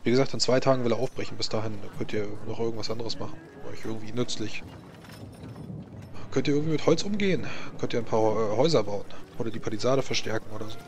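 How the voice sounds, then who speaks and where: A man's voice: medium pitch, quick pace, noisy background, natural voice. A man playing a flustered, officious scribe in a tabletop game, talking hesitantly and repeating himself. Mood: helpful, fussy. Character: flustered, officious male chancellery scribe, secretive and chatty.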